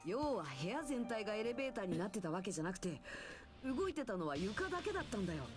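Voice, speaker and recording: Woman as rapid, earnest teenage boy detective. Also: mid-range voice, very animated, music in the background, close to an everyday voice. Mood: earnest deduction. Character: earnest teenage boy reasoning through a mystery. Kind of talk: Japanese game voice acting.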